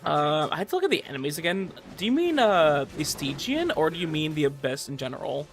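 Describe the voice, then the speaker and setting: A man hosting a gaming livestream, talking casually and haltingly to his chat, often repeating words as he goes. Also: a little high, big pitch swings, slight background noise. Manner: conversational, halting, repeats words while reading and answering chat. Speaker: livestream host, gamer. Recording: music playing behind voice. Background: game music.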